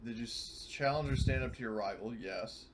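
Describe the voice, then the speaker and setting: A man's voice: medium pitch, normal speed, background noise. A man chatting casually at a tabletop role-playing game as one of the players.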